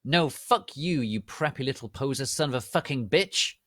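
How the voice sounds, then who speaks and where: A man's voice: mid-range voice, lively intonation, moderate pace, fairly bright tone, no room sound, clean studio sound, pitched above the performer's own voice, close to an everyday voice. A man voicing a brooding, foul-mouthed teenage goth boy in an overwrought, disgusted manner for a story.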